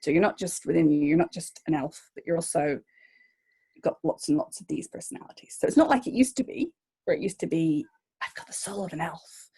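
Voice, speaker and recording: Female journalist guest, husky, thoughtful and hesitant. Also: low-pitched, lively intonation, brisk, dark tone. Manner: thoughtful, with frequent "um"s and restarts. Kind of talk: interview answers.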